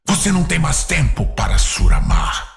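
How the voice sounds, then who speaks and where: A man with a Brazilian accent playing a cold, imperious demon lord giving terse, gravelly orders in an audio drama. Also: on the high side, sing-song, moderate pace, full character voice. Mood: forceful, commanding. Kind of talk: dramatic audio-series acting. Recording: music behind the voice.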